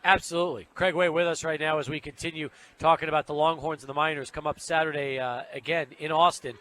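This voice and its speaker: A male sports talk host with a distinctly high-pitched voice. He is chatty and conversational, rolling through long run-on setups on his way to a question. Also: steady tone, quick pace, bright, forceful tone. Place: radio studio.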